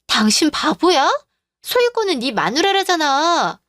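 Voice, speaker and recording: A woman voicing a scheming, mocking mistress in a radio drama, with a taunting manner and a pitch raised well above her usual voice. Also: very animated, a little slow, a bit bright, no echo, exaggerated voice. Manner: taunting, sneering. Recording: dry studio voice-over with faint music.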